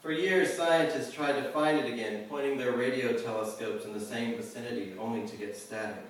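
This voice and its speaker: A man giving a live poetry reading in a measured, deliberate way, pausing at the ends of lines. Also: mid-range voice, steady tone. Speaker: poet. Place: indoor reading venue with a live audience. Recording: very echoey room, distant mic, faint noise.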